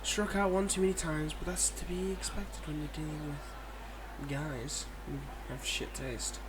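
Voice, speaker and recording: Higher-voiced cocky, flirty villain acted by a man. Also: fairly even intonation, a little slow, very noisy, natural voice. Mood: smug, teasing. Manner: smug, teasing, coaxing and mock-defensive. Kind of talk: casual audio roleplay addressed to the listener.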